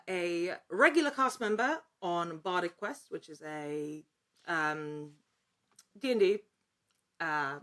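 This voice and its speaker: A woman in her thirties talking casually as an interview guest, with a halting, informal, self-aware manner. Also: on the low side, a bit bright. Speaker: podcast guest, actor and writer.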